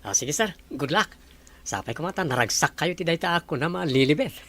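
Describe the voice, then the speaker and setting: An actor playing a cheeky but respectful office underling in a radio drama, teasing and giggly. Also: male voice, a little high, expressive, a little fast, a bit bright. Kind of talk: Ilocano radio drama acting.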